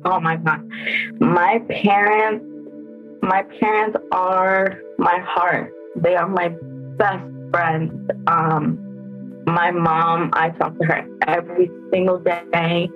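Young woman telling her story, halting. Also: on the low side, level tone, muffled recording, soft background music. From El Salvador, raised in the United States. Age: 20s. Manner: emotional, halting with repeats. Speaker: interviewee. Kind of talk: personal testimony.

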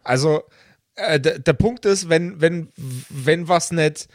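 Higher-pitched man, halting and emphatic. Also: dry recording, clean studio sound. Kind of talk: casual banter.

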